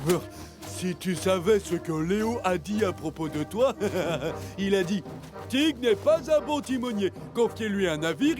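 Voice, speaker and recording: Sly sneering cartoon sidekick. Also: male voice, high voice. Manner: mocking, sneering laughs. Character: sly teasing villain critter, sneaky sidekick. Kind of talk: French cartoon dubbing. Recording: music under the voice.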